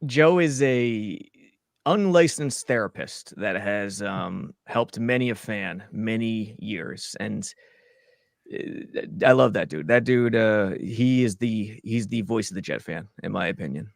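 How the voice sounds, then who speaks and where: Gravelly low-voiced talk show co-host. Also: male voice, expressive, slow pace, no room sound, studio quality. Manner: easygoing, laughs along with callers. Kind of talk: casual show chat.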